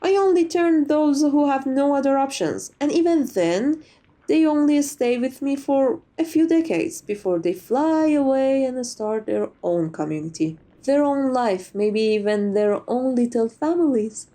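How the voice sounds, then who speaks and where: Woman playing a genteel, mellow-voiced lady vampire. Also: on the high side, expressive, a little slow. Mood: amused, chatty. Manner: genteel, conversational, slightly formal phrasing. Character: sociable, chatty, gracious old female vampire being interviewed. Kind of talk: ASMR roleplay monologue.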